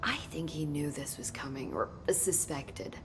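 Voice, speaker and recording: An actress playing an earnest woman researcher at a secret agency, speaking softly and thoughtfully in game cutscenes. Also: low voice, lively intonation, a little slow, background noise. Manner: soft, hesitant, thoughtful with sighs. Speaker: game character. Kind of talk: video game cutscene dialogue.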